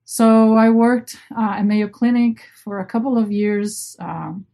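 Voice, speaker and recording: A woman's voice: medium pitch, fairly even intonation, measured pace, creaky, dark tone. A middle-aged woman from Brazil, a native Portuguese speaker, talking casually as an interview guest and often rephrasing herself. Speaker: interview guest, marine biologist. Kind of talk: casual personal storytelling.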